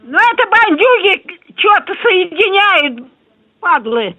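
A woman's voice: extremely high pitch, very animated, slow pace, bright, forceful tone, no echo. A woman in her nineties, crude and quarrelsome, arguing indignantly and swearing heavily over the telephone. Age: 90s. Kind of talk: profane, indignant phone argument. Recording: tinny narrowband phone line.

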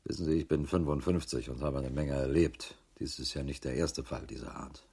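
An actor in a radio crime drama playing a sardonic, world-weary police chief in his fifties with a husky voice, grumbling as he talks. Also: a bass voice, fairly quick, a little mellow, heavily acted. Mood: agitated, exasperated. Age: 50s.

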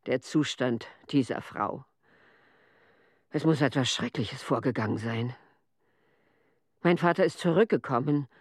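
A man's voice: medium pitch, dry recording, lightly acted. An actor with a male voice playing a shaken survivor who gives grave narrative testimony in a documentary radio drama. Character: shaken survivor recounting a family's flight.

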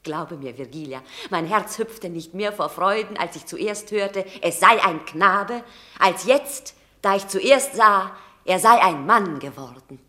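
Proud, fierce Roman matron, bright and sweeping. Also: female voice, mid-range voice, expressive, a little slow. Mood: proud, exhorting. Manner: declamatory, emphatic, passionate. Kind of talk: classical stage-style radio drama acting.

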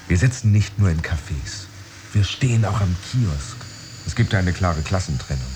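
Deep-voiced brooding secret phantom worker. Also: male voice, background noise. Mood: brooding, observant. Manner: measured, literary, introspective. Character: brooding man secretly pretending to still have a job. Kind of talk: inner monologue in a radio play. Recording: music behind the voice. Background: music.

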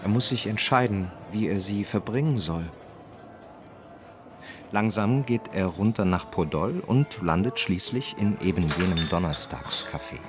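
An actor narrating a melancholy crime radio drama in a terse, detached manner. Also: male voice, on the low side, expressive, a little slow. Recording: music behind the narration.

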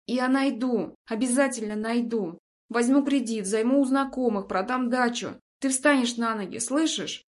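A woman's voice: a little high, moderate pace, voice pushed up, natural voice. A woman voicing an older motherly woman, fiercely protective and wounded, who speaks with bitter, resolute emphasis in an audiobook story. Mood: resolute, urgent.

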